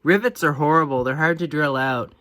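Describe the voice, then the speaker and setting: A man's voice: on the high side, a little fast, pushed and bright. A man talking casually and off the cuff, in an offhand, self-deprecating way, as he narrates a hands-on repair. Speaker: hobbyist radio repairer presenting own video.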